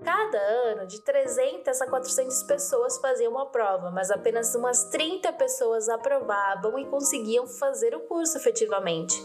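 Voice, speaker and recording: A young woman from the Brazil-Uruguay border, who grew up with Portuguese and Spanish, tells her life story in a reflective, measured way. Her voice sits a little high for a woman. A faint music bed runs under her. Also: darkish tone. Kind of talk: personal storytelling.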